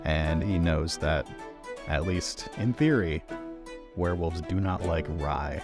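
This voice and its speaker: A man's voice: low voice, takes it slowly, music in the background. A man playing a tabletop roleplaying game, casually chatting and describing what his character does.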